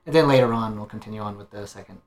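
A man on a podcast panel, chatting informally and thinking aloud in halting phrases. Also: lowish voice. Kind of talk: casual chat.

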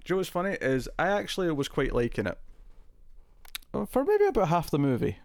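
A man's voice: a little high, huge pitch swings, a little fast, creaky, dry recording. A man hosting a casual film podcast, talking in a chatty way, laughing often and restarting words. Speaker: podcast host. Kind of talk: casual chat.

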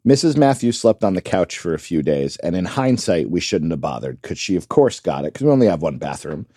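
A man co-hosting a casual podcast, chatty and curious, asking lots of questions and filling in with quick replies. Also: deep voice, very animated, mellow, husky, no echo, studio quality. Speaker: podcast co-host. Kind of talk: casual chat.

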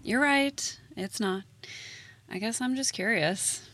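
A woman's voice: a little high, takes it slowly, a bit bright. A woman playing a jaded, blunt schoolteacher with a dry, sardonic manner, in a naturalistic audio drama. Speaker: actor in an audio play.

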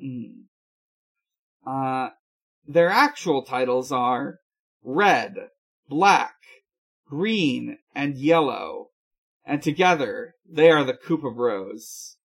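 A man hosting a podcast, giving a casual recap in a halting, hesitant way. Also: mid-range voice, lively intonation, a bit bright, husky. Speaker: podcast host. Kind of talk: casual episode recap chat.

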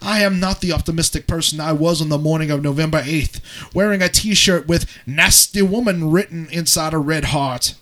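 A man voicing a grieving single mother in a theatrical, solemn English accent, with drawn-out pauses, as a dramatic reading. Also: a little high, fairly bright tone, lightly acted. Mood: grieving, resigned. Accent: English, put on.

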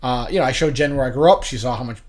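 Halting man telling casual personal stories. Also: medium pitch. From western Massachusetts. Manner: halting, rambling, repeats phrases. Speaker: podcast co-host.

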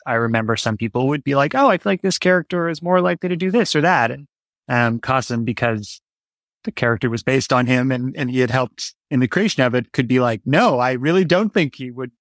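A man speaks as a guest in an interview, thinking his answers through and laying them out step by step. His pitch sits in the middle for a man, and the sound is dry and close, with little room around it. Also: no echo. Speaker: interview guest, audio drama writer.

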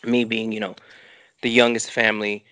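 A man's voice: lowish voice, flat delivery, moderate pace, bright, forceful tone, no room sound. A middle-aged man with a New York accent, a filmmaker rambling through interview answers with many restarts and "you know" fillers. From New York City. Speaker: filmmaker guest.

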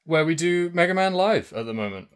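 A man's voice: a little high, big pitch swings, a little fast, clear, resonant tone. A man co-hosting a casual podcast, rambling loosely, laughing often and trailing off mid-sentence. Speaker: podcast co-host.

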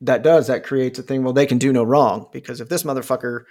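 A man's voice: mid-range voice, steady tone, quick pace, a little mellow. A man in his forties talking casually, rambling with lots of fillers and restarts and swearing freely. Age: 40s. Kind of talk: casual conversation.

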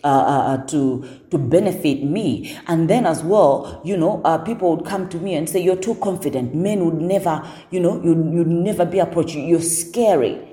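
A woman with a notably deep voice speaks with firm, assertive confidence, often doubling words as she drives a point home. Her tone is dark and mellow, sitting low for a woman. The recording carries a noticeable room echo. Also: normal speed. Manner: assertive, repeats words when emphasizing. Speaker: guest. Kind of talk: casual podcast conversation.

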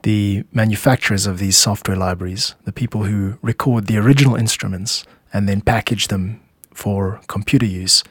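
A man hosting an explanatory music podcast, speaking in measured, instructive phrases with deliberate pauses into a close microphone. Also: lowish voice, no room sound. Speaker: podcast host and composer explaining own music.

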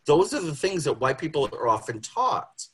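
A man with a husky voice giving a prepared talk on social justice as a panel speaker, phrasing it deliberately and with emphasis. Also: a little high, big pitch swings, a bit bright.

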